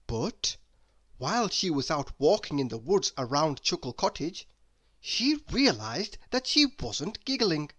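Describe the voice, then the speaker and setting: A woman reading a children's story aloud, expressive and deliberate, with dramatic emphasis. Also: low-pitched. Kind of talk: children's read-aloud narration.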